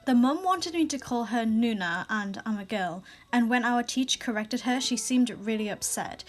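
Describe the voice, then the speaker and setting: A young woman reading viewer stories aloud in a casual vlog, with sassy asides and quick restarts. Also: mid-range voice. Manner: chatty, sassy asides, frequent laughs and restarts. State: recently sick.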